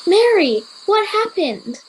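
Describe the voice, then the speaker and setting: A woman's voice: extremely high pitch, sing-song, slow, full character voice, poor audio. A girl of ten or eleven playing a sensible, caring boarding-school pupil in an amateur radio play. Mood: concerned, reassuring. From Australia. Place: at home over a video call.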